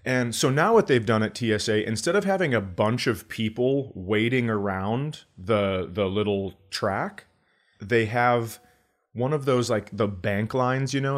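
Low-voiced American man telling comic stories. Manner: acts out dialogue, profane. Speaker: comedy podcast host. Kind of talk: casual comic storytelling.

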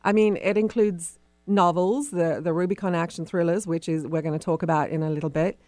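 A woman's voice: lowish voice, steady tone, a little fast, a little mellow, dry recording. A woman hosting a radio talk show in a conversational interviewing style.

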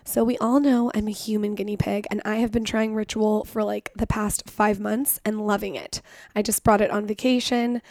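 A woman with a slightly hoarse voice, chatting casually as a podcast co-host and reading personal ads. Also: mid-range voice, little pitch movement, moderate pace, darkish tone, no room sound, studio quality. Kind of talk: casual chat and personal ad reads.

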